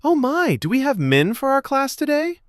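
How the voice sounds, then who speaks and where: Man putting on a high, bold woman fitness instructor. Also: wildly swooping pitch, normal speed, no echo, studio quality, exaggerated voice. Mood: excited, calling out. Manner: calls out teasingly. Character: bold, goading middle-aged woman step-class instructor.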